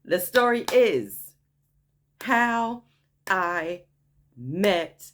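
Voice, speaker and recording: A woman with a naturally deep voice telling a story to her viewers in a chatty vlog, often tossing in "okay?" as she hypes things up. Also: big pitch swings, very slow, slight background noise. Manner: chatty, frequent "okay?" asides, hyped enthusiasm. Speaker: vlogger. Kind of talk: casual story time vlog.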